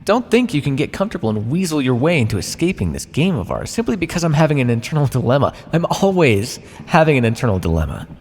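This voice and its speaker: A man playing a condescending, conflicted vampire captor and scientist in a theatrical ASMR roleplay, teasing the listener with sighs and drawn-out words. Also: mid-range voice, wildly swooping pitch, darkish tone, slightly rough, in a large hall, full character voice. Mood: conflicted, wry.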